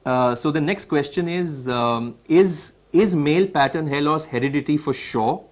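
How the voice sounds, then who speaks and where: A man's voice: fairly high pitch, normal speed. Indian-accented man hosting a webinar. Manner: explanatory, restates points for viewers. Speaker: webinar host. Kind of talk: moderating a medical Q&A, reading and recapping.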